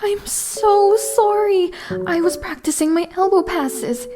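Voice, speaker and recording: An actress playing a kind, considerate teenage schoolgirl athlete in an English anime dub, gentle and apologetic. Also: very high voice, takes it slowly. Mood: apologetic concern.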